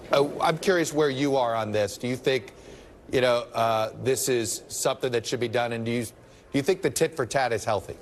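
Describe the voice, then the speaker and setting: A man's voice: medium pitch, slight background noise. Man hosting a political interview. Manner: probing, conversational questions. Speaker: news show host.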